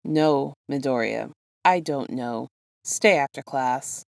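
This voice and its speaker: A woman voicing a stern, weary male teacher in a deadpan, measured way while reading a story. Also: very deep voice, gravelly, dry recording, studio quality, full character voice. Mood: weary, firm.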